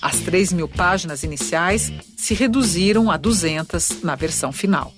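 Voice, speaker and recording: A woman narrating an educational documentary in a measured, scripted read, also putting questions to the interviewee. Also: lowish voice, animated. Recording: music bed underneath.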